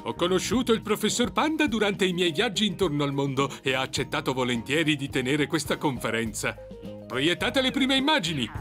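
A man's voice: a little high, animated, brisk, over music, lightly acted. A man voicing a genial, hearty, theatrical father-like creature in a children's cartoon. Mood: welcoming. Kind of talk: dubbed children's cartoon acting.

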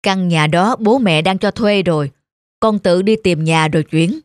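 A woman's voice: on the low side, unhurried, no echo, studio quality, natural voice. A woman voicing a stern middle-aged father in a cartoon drama, firm and matter-of-fact.

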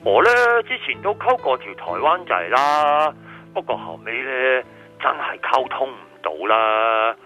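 A man's voice: medium pitch, expressive, a little slow, muffled recording, close to an everyday voice. An actor playing a reluctant, squeamish man in a comedic radio drama. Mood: grumbling, chatty. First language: Japanese. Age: 40s. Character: middle-aged Japanese man, reluctant, squeamish, whiny. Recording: radio drama with music underneath.

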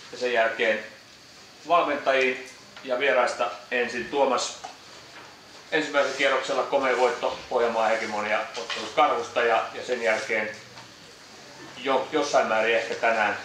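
A man's voice: mid-range voice, sharp tone. A man moderating a postgame press conference, asking questions in Finnish and English. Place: echoey press room. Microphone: distant room mic. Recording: echoey room audio from far off with noise.

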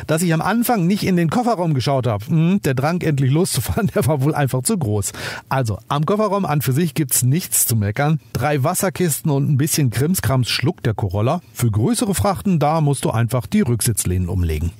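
Husky-voiced man reviewing a car. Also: medium pitch, darkish tone, dry recording. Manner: chatty, addresses listener directly. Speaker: car podcast host. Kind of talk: scripted car review narration.

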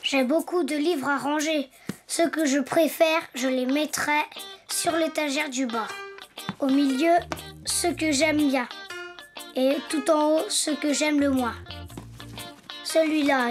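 An actor voicing a cheerful, playful little boy donkey in a preschool cartoon, lively and childlike, with music behind. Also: sits high, takes it slowly. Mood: earnest.

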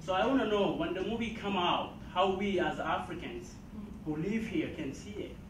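A man from Mali asking a question from the floor in halting, joking talk, in a hotel meeting room. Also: high-pitched. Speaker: audience member asking a question. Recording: echoey room, noisy, distant mic.

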